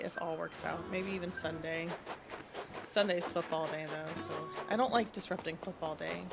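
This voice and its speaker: A sniffly woman streamer chatting casually and thinking aloud while she plays a game. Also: on the low side. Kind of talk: casual gaming chat.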